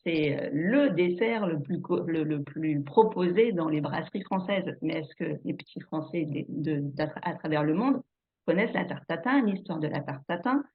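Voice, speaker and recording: A woman's voice: deep voice, lively intonation, normal speed. A woman hosting a casual interview, stammering and restarting as she talks. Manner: stammering restarts, laughs easily. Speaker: host, channel founder. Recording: phone-like video call audio.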